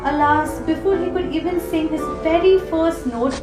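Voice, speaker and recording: A woman's voice: very high voice, moderate pace. A woman reading a scripted children's story as its narrator. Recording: echoey room with prominent music. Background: prominent music.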